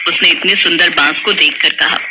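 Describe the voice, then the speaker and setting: A woman's voice: on the low side, fairly even intonation, moderate pace, heavy background noise. A woman narrating a folk tale from a script as a storyteller.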